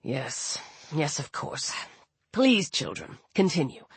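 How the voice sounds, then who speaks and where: A woman's voice: on the low side, expressive, unhurried, husky, no room sound. A woman voicing a weary, dignified father who rules the underworld, speaking in a firm, dry, formal way. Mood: resigned.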